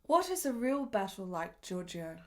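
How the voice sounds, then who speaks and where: An actress playing an intense, imperious, sharp-witted woman in a period radio play. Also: mid-range voice, big pitch swings, normal speed, lightly acted. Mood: quietly curious.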